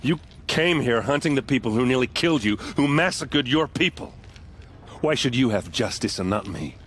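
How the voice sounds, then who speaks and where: An actor playing a gruff, wry and earnest warrior in a video game. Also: male voice, medium pitch, animated, normal speed, fairly bright tone, slight background noise, lightly acted. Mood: earnest pleading. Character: gruff, wry warrior man, grieving and loyal.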